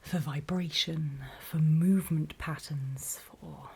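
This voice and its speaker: A woman playing a wary, battle-worn survivor of a zombie apocalypse, speaking in a breathy, deliberate whisper in an ASMR horror roleplay. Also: low voice, fairly even intonation, full character voice. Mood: ominous, hushed. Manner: hushed, deliberate, halting storytelling with pauses.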